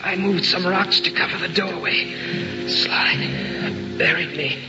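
An actor playing a dying, trapped professor in a radio horror drama, breathy and strained, gasping out a desperate warning. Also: male voice, high voice, fairly even intonation. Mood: weak, desperate. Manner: halting, gasping delivery. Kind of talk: old-time radio horror acting. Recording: echoey, distant, muffled radio recording under loud music.

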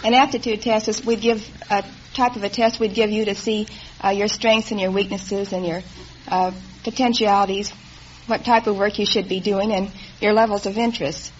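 A woman's voice: mid-range voice, little pitch movement, moderate pace. Earnest woman on muffled old radio. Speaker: test bureau worker, show contestant. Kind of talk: spontaneous interview answers. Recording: muffled old radio broadcast, faint noise.

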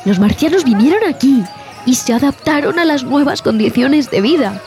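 A woman playing a traumatized expedition survivor in a horror audio drama, telling her story in a haunted, halting, dramatic way. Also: high voice, animated, darkish tone. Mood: indignant, agitated. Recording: music bed behind the voice.

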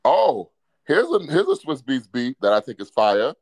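A man's voice: mid-range voice, sing-song, normal speed, a little mellow. A man in a casual chat, playfully quizzing and needling the other speaker.

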